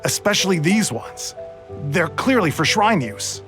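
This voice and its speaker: A man's voice: sits high, big pitch swings, unhurried. A man voicing an earnest young soldier who speaks to his leader respectfully and deferentially. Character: earnest young male soldier of the island's army. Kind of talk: video game voice acting. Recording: faint game music behind.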